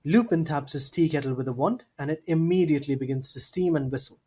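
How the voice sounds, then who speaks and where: A man's voice: fairly high pitch, quick pace, mellow, breathy edge. A man reading scripted narration for an audio drama. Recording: slightly noisy home mic.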